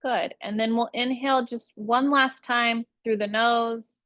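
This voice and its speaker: A woman's voice: on the high side, fairly even intonation, fairly bright tone, dry recording. Woman guiding meditation, very dry close sound. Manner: slow, soothing, unhurried instruction with long pauses. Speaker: yoga nidra meditation teacher. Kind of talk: guided relaxation meditation.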